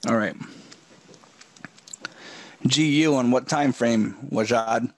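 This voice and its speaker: A man coaching a live trading session from a home office, talking in a relaxed way with many fillers, a bit hoarse and often clearing his throat. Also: medium pitch, slow, no room sound. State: losing his voice.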